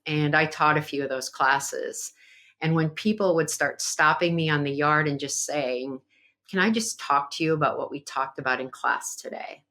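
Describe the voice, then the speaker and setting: A woman's voice: low voice, a bit bright. A woman speaking as a podcast guest, earnest and reflective, with fillers as she talks. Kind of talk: conversational interview.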